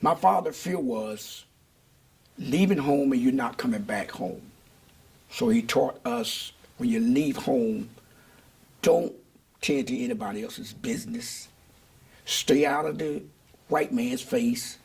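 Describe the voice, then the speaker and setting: An older man answering interview questions in a reflective, measured and deliberate way. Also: mid-range voice, gravelly, a little mellow, breathy edge.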